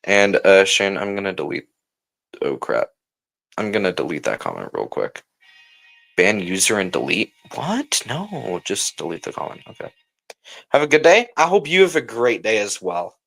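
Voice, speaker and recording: A man's voice: sits low, big pitch swings, moderate pace, vocal fry, a bit bright. A man hosting a livestream, chatting casually and informally with slang as he reacts and talks to viewers. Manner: informal slangy talk, often saying bro. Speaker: livestream host.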